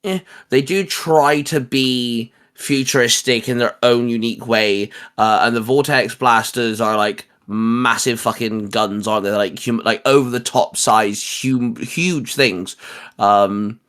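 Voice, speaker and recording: A man with a mid-range voice hosts a relaxed, geeky chat. He speaks haltingly, circling back and repeating the starts of words as he works out what he means. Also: medium pitch, clean tone. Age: adult, a parent. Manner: halting, repetitive, stammered word starts. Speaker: host. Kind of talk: casual geek chat.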